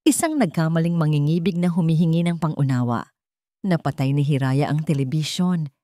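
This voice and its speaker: A woman's voice: deep voice, lively intonation, a little fast, warm tone, no echo, clean studio sound. A woman reading a scripted love story as a dramatic narrator.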